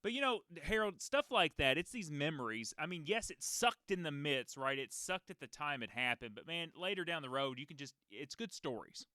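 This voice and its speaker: A man in his thirties with a deep voice, a race announcer hosting a casual podcast and telling long stories with many fillers. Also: expressive, normal speed, few pauses, pushed and bright, no echo. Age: 30s. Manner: rambling storyteller, many fillers and restarts.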